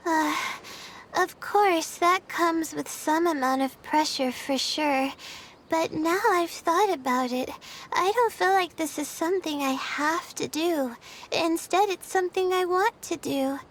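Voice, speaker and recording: A woman voicing a young female student astrologer in a video game, with a soft, earnest delivery. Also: very high-pitched, a little slow, slight background noise, rough recording. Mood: shy, earnest.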